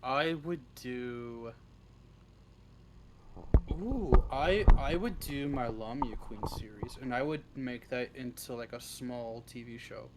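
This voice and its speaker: A man's voice: mid-range voice, takes it slowly, heavy background noise. A man chatting casually as an interview guest, blunt and informal, swearing freely. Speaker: interview guest, script writer. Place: live online voice stream.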